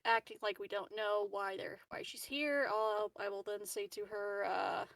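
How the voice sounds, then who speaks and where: A woman's voice: medium pitch, fairly even intonation, moderate pace, creaky, a bit bright, no echo. A woman playing a tabletop game and chatting casually, apologetic and self-deprecating. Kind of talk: casual chat.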